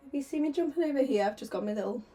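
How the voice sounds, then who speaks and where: A woman's voice: sits high, expressive, racing, mellow, soft background music. Chatty woman with sore throat. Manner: chatty, giggly, a little nervous. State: sore throat, slightly hoarse. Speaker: artist streaming a painting session. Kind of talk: casual livestream chat. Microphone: desk microphone.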